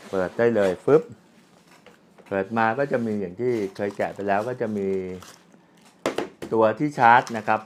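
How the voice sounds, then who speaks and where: A man gives a casual, unscripted product demonstration, explaining step by step as a reviewer. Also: lowish voice. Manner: explanatory, with frequent polite particles and spoken handling sounds. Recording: clearly audible background ambient noise.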